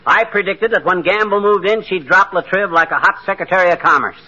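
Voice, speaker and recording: An actor playing a blustering, boastful middle-aged husband in a 1940s radio comedy, with folksy, slangy talk. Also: male voice, high-pitched, fairly quick, pushed and bright, exaggerated voice. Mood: teasing. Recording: noisy, dull 1940s radio recording.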